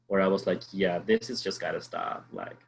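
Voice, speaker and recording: A man from Mexico answering as a student panelist, earnest and halting with fillers. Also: low voice, huge pitch swings, gravelly, low-quality recording.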